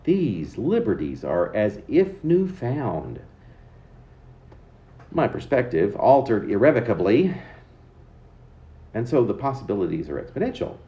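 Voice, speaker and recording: A man with a low, very mellow voice reads his own poetry aloud. His pitch moves expressively across a wide range as he phrases each line deliberately. The recording is a little dull and lo-fi. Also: sing-song, reverberant. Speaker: poet reading own work. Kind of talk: poetry reading.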